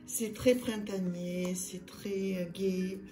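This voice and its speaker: A slightly hoarse woman hosting her own channel, reviewing card decks in a soft, hesitant way full of fillers. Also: mid-range voice, fairly even intonation, slow pace, echoey room, faint music behind. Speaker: tarot and oracle channel host. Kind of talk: casual card deck review.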